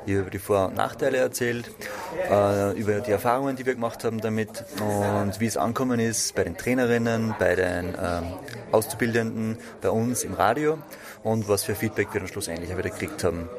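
A man's voice: fairly low pitch, fairly quick. A man giving a spontaneous interview account of his work as a radio trainer. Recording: busy crowd murmur behind. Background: crowd chatter.

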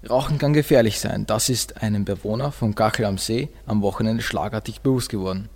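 A young man explaining things haltingly as a student guest on a school radio programme. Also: on the low side, creaky, darkish tone. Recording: long echoing hall reverb.

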